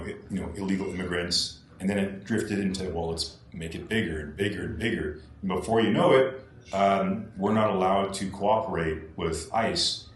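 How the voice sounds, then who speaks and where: A man's voice: deep voice, roomy sound, slight background noise. A man talking as a political candidate on a podcast, earnest and repeating his points for emphasis. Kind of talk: podcast discussion.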